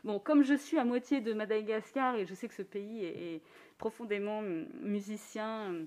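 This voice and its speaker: A woman's voice: medium pitch, fairly even intonation, normal speed. Thoughtful French-speaking woman musician. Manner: thoughtful, earnest, laughs softly. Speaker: musician guest. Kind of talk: reflective conversation.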